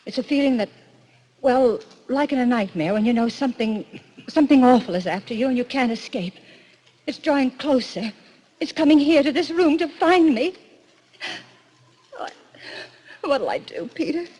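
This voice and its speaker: A woman's voice: high voice, lively intonation, moderate pace, long pauses, mellow, slightly rough, rough recording. An actress playing a frightened, anxious young woman in a 1940s radio drama, faltering and breathless with melodrama. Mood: fearful, desperate.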